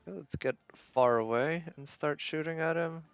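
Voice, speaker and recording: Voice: medium pitch, normal speed, lo-fi sound, faint music behind. A game livestream host giving casual live commentary, thinking aloud in a tentative, musing way.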